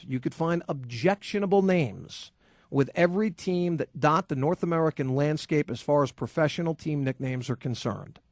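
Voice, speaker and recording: A man's voice: on the high side, normal speed, a little mellow, dry recording, studio quality. Ranting sports radio host, man. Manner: combative, ranting, sarcastic. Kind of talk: heated opinion monologue and call-in.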